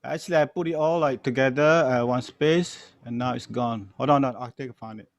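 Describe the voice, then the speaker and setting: A man chatting casually on an online call, halting and muttering to himself as he goes. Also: medium pitch, no room sound. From Malaysia. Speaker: guest storyteller, music archivist. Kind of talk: casual chat on an online session. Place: online video call from home.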